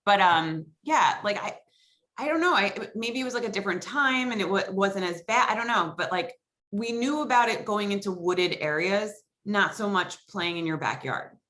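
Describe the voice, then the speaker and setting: An American woman in her forties from Long Island talks as a podcast guest. Her voice is bright and of average pitch, and her delivery is casual, chatty and rambling, full of conversational asides. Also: animated, normal speed. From Long Island, New York, USA. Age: 40s. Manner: chatty, rambling, peppered with "like" and "you know". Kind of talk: casual chat.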